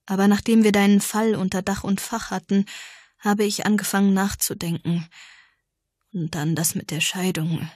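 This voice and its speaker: Woman voicing an easygoing male policeman, clear and dry. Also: medium pitch, steady tone, normal speed, a little mellow, no room sound, lightly acted. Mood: casual, tentative.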